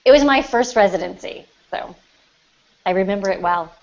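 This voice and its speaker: A woman's voice: mid-range voice, lively intonation, normal speed, creaky, a crowd in the background. A woman chatting casually as a guest on a podcast panel over an online call. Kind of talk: casual chat. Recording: dull lo-fi online call audio.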